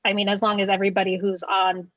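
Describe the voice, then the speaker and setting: A woman's voice: mid-range voice, completely flat delivery, quick pace, fairly bright tone, no echo. A woman on a video call suggesting plans for the group, friendly and hesitant, with many "um"s. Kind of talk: casual discussion on a video call. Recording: muffled dry call audio.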